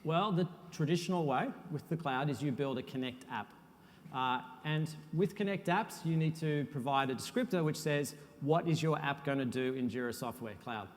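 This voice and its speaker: A man giving a technical conference talk as a software architect, relaxed and self-deprecating, with frequent uhs. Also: fairly high pitch, normal speed. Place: conference hall. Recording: long hall reverb.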